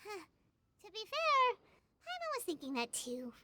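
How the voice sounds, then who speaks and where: Tiny childlike fairy, extremely high voice, very animated, perky, loyal, video game companion. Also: female voice, a little slow, heavy background noise, heavily acted. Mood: surprised. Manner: perky, speaks of self in third person.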